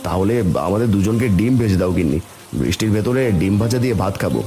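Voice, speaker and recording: A man voicing a village farmer and family man in a cartoon, speaking in a familiar, expressive way. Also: fairly low pitch, fast talker, lightly acted. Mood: homely, resigned. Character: worried village farmer, husband and father. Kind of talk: cartoon voice acting.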